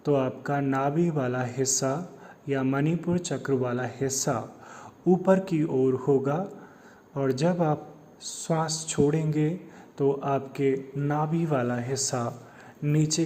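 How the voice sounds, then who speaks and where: A man speaking as a meditation guide and life coach, giving measured step-by-step instructions with long pauses. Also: fairly high pitch, darkish tone, a little hiss or hum. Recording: long hall-like reverb on the voice.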